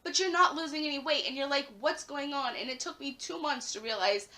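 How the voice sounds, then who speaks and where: A young woman vlogging casually to her camera at home, her voice raspy and a little hoarse after the flu, chatting with plenty of "like" fillers. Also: sits high, fairly quick, bright, forceful tone. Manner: chatty, lots of "like" fillers, rising emphasis.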